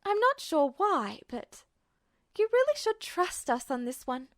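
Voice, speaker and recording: A composed woman lieutenant in a fan-fiction audiobook, speaking steadily and surely with a gentle teasing edge, as a woman reader puts her on. Her voice sits high and bright. The recording is dry and close. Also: measured pace, no echo, heavily acted. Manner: steady, sure, softly teasing. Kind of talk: podfic reading of fan fiction.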